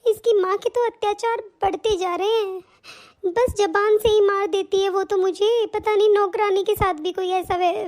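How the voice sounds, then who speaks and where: A woman's voice: extremely high pitch, a little mellow. A woman voicing a long-suffering elderly mother-in-law in a family cartoon drama, meek and complaining. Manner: plaintive, complaining, placating.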